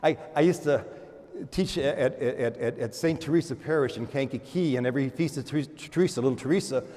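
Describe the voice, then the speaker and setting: A man giving a homily as a priest, animated, moving between loud exclamations and hushed murmurs, often repeating words and restarting in a conversational way. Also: medium pitch, brisk. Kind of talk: sermon. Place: large church. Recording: long church reverb.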